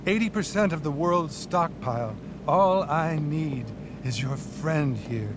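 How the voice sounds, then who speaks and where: A smug, unhinged male therapist in a video game, speaking in a fairly high voice. He is pompous and theatrical, selling himself as a grand healer. There is clear rumbling noise behind him. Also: lively intonation, unhurried. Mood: grandiose, persuasive. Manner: pompous, theatrical, clinical jargon. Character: smug, unhinged, self-promoting celebrity therapist with delusions of grandeur. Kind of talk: video game cutscene acting.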